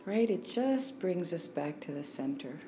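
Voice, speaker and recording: A woman leading a guided meditation as a teacher, speaking calmly and without hurry, leaving long pauses. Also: fairly low pitch, over music. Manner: calm, unhurried, with long pauses.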